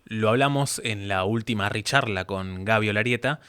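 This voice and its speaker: A man with an Argentine accent hosting a casual interview, speaking in halting, digressive phrases. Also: lowish voice, fairly bright tone, clean tone. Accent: Argentine Spanish. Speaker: interview host.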